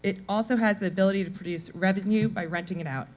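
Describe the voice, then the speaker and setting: A woman in her forties, a mother of four, reads a prepared comment at a town meeting. Her voice sits in a middle range, and she runs briskly through lists from her notes. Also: medium pitch, darkish tone, low-quality recording. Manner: brisk, reading from notes with list-like delivery. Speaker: public commenter, parent. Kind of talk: prepared public comment speech.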